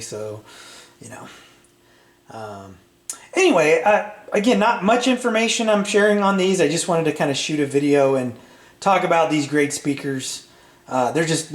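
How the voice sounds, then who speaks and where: A middle-aged man chatting casually and unscripted as a video host, in a halting, rambling way with hesitant phrasing. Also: medium pitch. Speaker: vintage audio repair channel host. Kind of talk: casual unscripted product chat. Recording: roomy, echoey sound.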